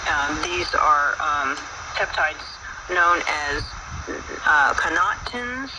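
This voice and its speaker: Chatty radio herb-show host. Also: male voice, on the high side, slow, recorded from a distance. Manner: relaxed explanatory, many fillers. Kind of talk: radio talk show monologue. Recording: faraway voice with loud background noise.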